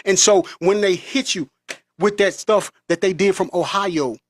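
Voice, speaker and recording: A man's voice: high voice, measured pace. An American man delivering an impassioned, preachy monologue as a vlogger. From United States.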